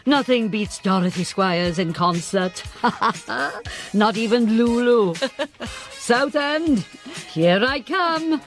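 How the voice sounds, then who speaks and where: An actress playing an ageing Welsh singing diva, boozy, imperious and melodramatic, declaiming grandly in a radio play. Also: female voice, fairly high pitch, animated, drawn-out words, faint music behind, exaggerated voice. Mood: smug, playful. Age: older. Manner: grandiose, haughty, dramatic declaiming.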